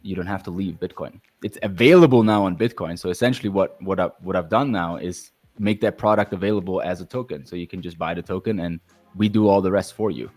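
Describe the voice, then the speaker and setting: Very low male guest, relaxed, talky, restarts a lot. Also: fast. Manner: relaxed, explanatory, frequent restarts. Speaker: guest, crypto founder. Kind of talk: casual podcast chat.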